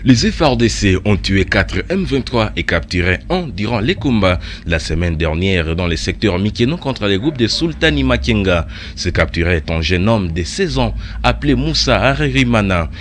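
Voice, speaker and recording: A male news anchor reading a radio news bulletin in a measured newsreader delivery. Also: fairly low pitch, lively intonation, a little hiss or hum.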